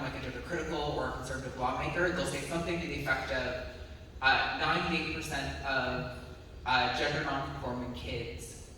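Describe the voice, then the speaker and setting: A man's voice: mid-range voice, bright, forceful tone, slight background noise. A man presenting and taking audience questions in a loose, hedging way, with many restarts. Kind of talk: casual Q&A talk. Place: lecture room with audience. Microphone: phone camera at a distance. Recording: echoey hall, phone from far off.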